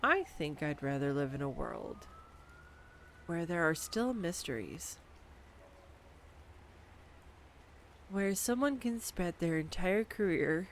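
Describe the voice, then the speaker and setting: A woman voicing a nerdy, affectionate girlfriend who rambles excitedly about animal facts in an intimate audio roleplay. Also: on the low side, slight background noise, full character voice. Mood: curious. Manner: enthusiastic, rambling, tangent-prone, giggly. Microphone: studio USB microphone.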